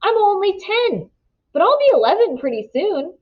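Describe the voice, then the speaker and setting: A woman reads as a ten-year-old storybook girl, prim and curious. Her pitch leaps about as she presses her questions, insistent and stubborn. The recording sounds slightly dull. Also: very animated, moderate pace. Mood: chatty.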